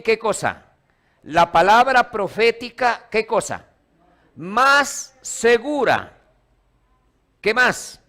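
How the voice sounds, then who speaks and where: Man, pastor, from Ecuador, slow, slightly high voice, church sermon, call-and-response. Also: fairly bright tone. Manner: call-and-response, quizzing the congregation. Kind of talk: sermon to a live congregation. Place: church hall.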